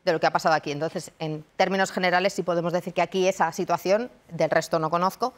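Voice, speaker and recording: A woman from Madrid, a university researcher and lecturer in her thirties, giving measured, reflective answers in a TV studio interview. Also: on the low side, steady tone. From Madrid, Spain. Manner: thoughtful, measured, frequent restarts. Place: television studio.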